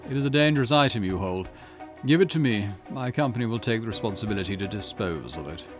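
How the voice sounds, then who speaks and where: A man's voice: fairly low pitch, lively intonation, fast talker, over music, lightly acted. A man voicing a stern, insistent company man with a formal, commanding manner in a visual novel game. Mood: demanding. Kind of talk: visual novel character reading.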